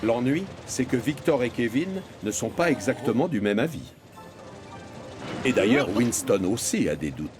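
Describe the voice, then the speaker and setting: Guy narrating a kids cartoon, mid voice, very lively, music behind. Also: mid-range voice, normal speed. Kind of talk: scripted storybook narration.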